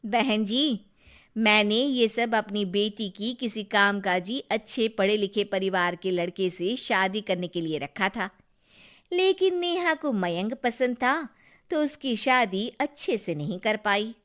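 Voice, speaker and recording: A woman's voice: medium pitch, expressive, slow, a bit bright. A woman playing a polite, earnest middle-aged mother of a bride in a Hindi moral story. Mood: regretful.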